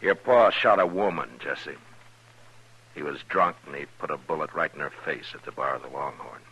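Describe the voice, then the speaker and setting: An actor playing a stern, steady American marshal of the Old West in a 1950s radio western, terse and authoritative. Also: male voice, very deep voice, moderate pace, breathy edge, heavily acted. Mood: grave, frank. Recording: noisy old radio drama recording.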